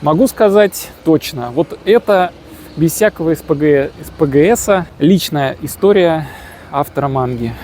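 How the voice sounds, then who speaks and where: A man's voice: fairly high pitch, expressive, measured pace, a little mellow, slight background noise. A man casually narrating his travel vlog outdoors, joking, boasting and using slang. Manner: jokey, boastful, slangy storytelling. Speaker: travel vlogger.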